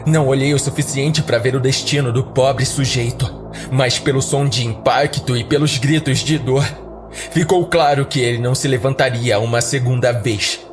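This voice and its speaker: A man's voice: mid-range voice, fairly even intonation, moderate pace. A man with a Brazilian accent, a podcast host, giving a dramatic scripted first-person horror narration. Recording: background music under the voice. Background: music.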